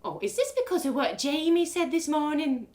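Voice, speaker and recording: A woman's voice: sits high, sing-song, normal speed, a little mellow, voice pushed up. A woman voicing an anxious, domineering village mother in a fantasy story, scolding and insistent. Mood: nervous dismissal.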